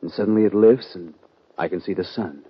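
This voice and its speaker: A man's voice: deep voice, fairly quick, breathy edge, no echo. An actor playing an earnest, regretful young cowboy on the run in a 1950s radio western, plain and folksy in his talk. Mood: tender, wistful. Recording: dull, lo-fi 1950 radio recording.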